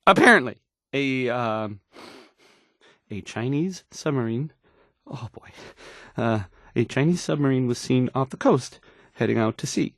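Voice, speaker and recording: A man's voice: medium pitch, animated, unhurried, fairly bright tone, no echo, studio quality. An actor playing an awkward young male radio newscaster in a video game, nervous and hesitant, stammering and trailing off. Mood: baffled unease. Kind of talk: in-game radio news acting.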